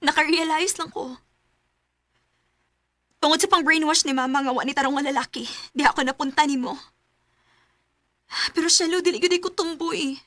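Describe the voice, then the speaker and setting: High, bright headstrong young woman. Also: normal speed, pauses often, no echo. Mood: firm, defiant. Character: blunt, headstrong young woman defying her mother. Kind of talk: radio drama acting.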